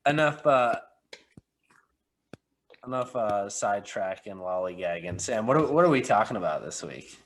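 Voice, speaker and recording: A man's voice: fairly low pitch, very animated, normal speed, pauses often. Joking man hosting a film podcast. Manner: joking, rambling banter. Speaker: podcast host. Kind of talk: casual chat.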